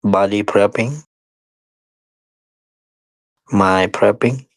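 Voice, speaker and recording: Slow, low-pitched man guiding meditation. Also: dry recording, low-quality recording. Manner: unhurried, short phrases with pauses; non-standard English grammar. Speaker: meditation teacher. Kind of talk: guided meditation instruction.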